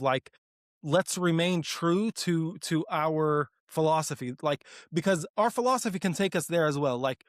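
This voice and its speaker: A man in his thirties from Texas, talking eagerly and earnestly as an interview guest, full of "like" fillers and quick restarts. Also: a little high, no room sound, studio quality. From Dallas, Texas, US. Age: 30s. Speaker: guest, applied research leader. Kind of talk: conversational interview.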